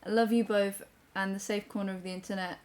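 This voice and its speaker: A woman's voice: mid-range voice, flat delivery, fast, darkish tone. A woman streamer chatting casually and candidly with viewers, in a playful, talkative way. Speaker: video game streamer. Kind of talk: casual livestream chat.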